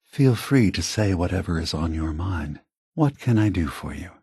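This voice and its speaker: An actor playing a gentle, weary old male android leader in a video game, husky and soft-spoken, with a measured, contemplative, earnest manner. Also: sits low, expressive, no echo, exaggerated voice. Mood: calm, welcoming. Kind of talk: video game character dialogue.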